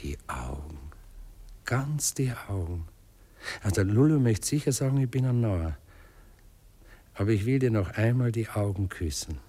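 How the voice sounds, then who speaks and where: An actor playing a sentimental, muddled Viennese gentleman in a radio play, speaking haltingly and musing as he goes. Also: on the low side, expressive, slightly rough. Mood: tender, musing. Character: sentimental, muddled gentleman client, wistful and awkward. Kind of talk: naturalistic German radio play acting.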